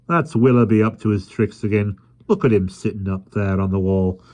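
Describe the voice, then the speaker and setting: A man voicing a fussy, panicky park keeper who keeps citing the rules, in a high shrieking voice. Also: normal speed, darkish tone, natural voice. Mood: indignant. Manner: shrieking, high-strung, officious.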